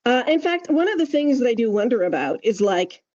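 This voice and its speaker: Higher-pitched woman, mellow, casual podcast chat. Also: sing-song, a little fast, dull audio. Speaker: podcast co-host.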